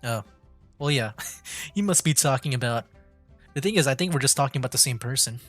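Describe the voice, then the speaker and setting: A man's voice: medium pitch, lively intonation, a little fast, soft background music. A man streaming a game with casual, easygoing, rambling commentary. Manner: easygoing, chuckling, rambling asides.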